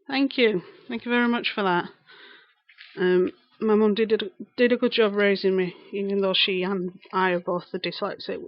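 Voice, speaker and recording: A woman's voice: medium pitch, dry recording. Lancashire lady in her 30s rambling on a livestream, says stuff twice, dry dull mic sound. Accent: broad Lancashire. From England. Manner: chatty and rambling, repeats phrases, greets viewers by name. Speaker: live streamer. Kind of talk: casual live-stream chat, answering viewers.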